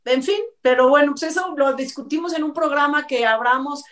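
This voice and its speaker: A woman's voice: high voice, a little fast, a bit bright. A middle-aged woman with a Mexican accent giving emphatic, colloquial opinions as a panelist on a video call. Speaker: talk show panelist. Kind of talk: casual opinionated panel chat. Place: bright living room at home. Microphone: computer mic on video call. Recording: distant mic on video call.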